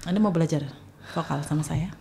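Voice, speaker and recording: A woman's voice: sits low, fast talker, creaky, dark tone, a little hiss or hum. A woman working as a vocal coach, talking casually and demonstratively with exaggerated intonation. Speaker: public speaking and vocal coach. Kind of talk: casual explanatory talk with spoken demonstrations.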